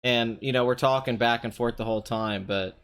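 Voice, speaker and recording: A young man with a somewhat high, nasal voice, strained and hoarse, chatting casually on his live stream and answering viewers' comments. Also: fairly even intonation, fairly quick, fairly bright tone. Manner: chatty, rambling, often clears throat, reads chat aloud.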